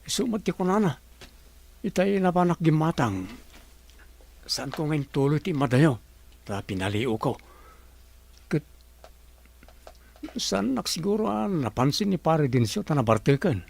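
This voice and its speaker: A man's voice: fairly high pitch, big pitch swings, a little slow, creaky, long pauses, darkish tone, heavily acted. A man playing a caring, gossipy married villager in an Ilocano radio comedy drama. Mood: concerned, gossipy.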